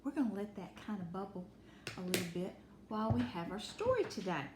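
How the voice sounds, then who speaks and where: Animated woman reading to children, roomy echo. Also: mid-range voice, brisk. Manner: animated, instructive, dwells on key words for emphasis. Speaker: storytime reader and teacher. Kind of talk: expressive picture-book read-aloud for children. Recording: roomy echo with faint background noise.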